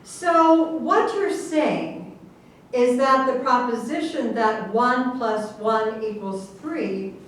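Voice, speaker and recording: A woman in a staged reading playing an earnest questioner who speaks in a deliberate, inquiring way. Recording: distant, very echoey room with faint rumble.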